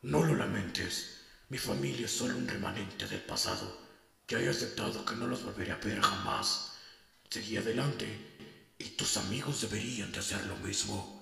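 A man with a Latin American Spanish accent voicing a cynical, middle-aged undead villain in an animated fandub, gravelly, menacing and contemptuous. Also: on the low side, moderate pace, heavily acted. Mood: grim, resigned. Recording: roomy, distant voice.

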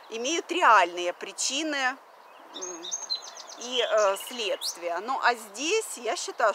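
A woman from Russia in her thirties, talking casually to her phone camera outdoors by a river, with many fillers and bits of English mixed in. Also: fairly high pitch. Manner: chatty, many fillers, mixes in English phrases. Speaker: vlogger. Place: outdoors on a wooden deck by a river. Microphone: phone camera at arm's length. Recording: outdoor phone recording, faint background noise.

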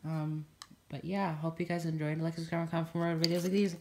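A man's voice: on the high side, level tone, brisk, darkish tone, a little hiss or hum. A young man with a stuffy nose chatting casually while unboxing a toy at home, rambling with lots of fillers. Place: indoors at a table in a home room.

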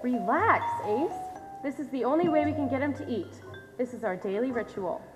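Young woman as a high-voiced, wry American scientist. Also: lively intonation, moderate pace. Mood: patient, reassuring. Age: young adult. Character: dry-witted, protective woman scientist. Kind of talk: projected stage acting. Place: theater stage, distant camera. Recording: reverberant stage hall, music behind.

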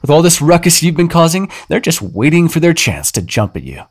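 A man's voice: fairly high pitch, huge pitch swings, a little fast, husky, full character voice. A man voicing a centuries-old male vampire in an audio roleplay, condescending and teasing with sudden threats. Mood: angry.

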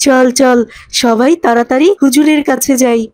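A woman as an earnest, high-voiced cartoon boy. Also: fairly even intonation, moderate pace, a little mellow, close to an everyday voice. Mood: eager. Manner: earnest, explaining. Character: earnest, pious boy, gentle older brother to friends.

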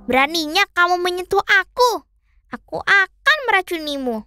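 Piercingly high little sea creature. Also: female voice, animated, moderate pace, bright, forceful tone, heavily acted. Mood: menacing. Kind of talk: children's cartoon character acting.

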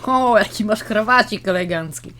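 A woman chatting casually while playing a co-op video game, colloquial, joking and quick to swear. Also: mid-range voice, a crowd in the background. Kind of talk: casual chat during co-op gaming. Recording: clear background noise.

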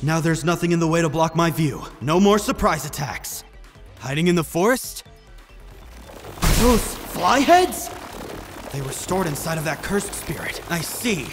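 A man acting a cocky young male sorcerer in an amateur manga dub, emphatic and taunting, quick to size up his foe. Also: a little high, lively intonation, big-room sound, soft background music. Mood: analytical.

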